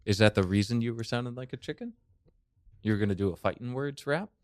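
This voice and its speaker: A man's voice: mid-range voice, fast talker, darkish tone, no room sound, clean studio sound. A man co-hosting a comedy podcast, improvising banter and playing along in a placating way. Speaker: podcast co-host.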